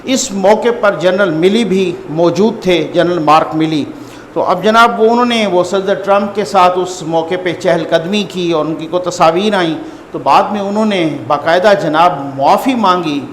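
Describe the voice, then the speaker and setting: A man's voice: sits high, moderate pace. A man with a Pakistani accent giving informal news commentary to viewers, conversational and repeating phrases for emphasis. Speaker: host and political news commentator. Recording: echoey reverberant room, faint background noise.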